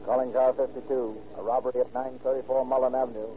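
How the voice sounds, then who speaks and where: A man playing a brisk police radio dispatcher. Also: mid-range voice, little pitch movement, slight background noise, natural voice. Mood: urgent. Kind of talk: 1930s radio drama acting. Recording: muffled lo-fi 1930s radio recording.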